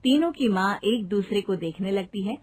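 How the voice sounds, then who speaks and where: A woman's voice: medium pitch, expressive, normal speed, darkish tone. A woman narrating a scripted moral story as the storyteller.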